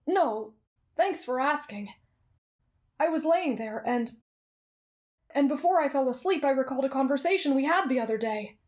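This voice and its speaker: A woman playing a kind, polite, earnest teenage boy in an anime fan roleplay, speaking gently and considerately. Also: high voice, expressive, moderate pace, long pauses. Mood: eager, friendly.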